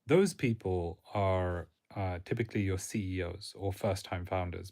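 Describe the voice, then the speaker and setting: A man who gives career advice, chatting casually on a live stream in a monotone, rambling way with fillers. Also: low voice, gravelly. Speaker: career coach.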